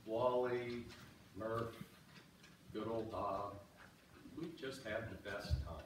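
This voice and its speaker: Actor as a slow nostalgic outdoorsman, echoey. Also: fairly low pitch, steady tone. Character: nostalgic outdoorsman reminiscing about old buddies. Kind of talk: amateur reader's theater monologue. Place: on stage in a church, far camera. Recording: very echoey hall, distant mic, noisy.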